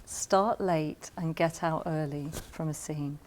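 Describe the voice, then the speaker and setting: A woman explaining her craft advice in an interview, with measured emphasis. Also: deep voice, fairly even intonation, a little mellow, poor audio. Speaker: radio drama producer giving writing advice. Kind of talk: interview-style advice to camera.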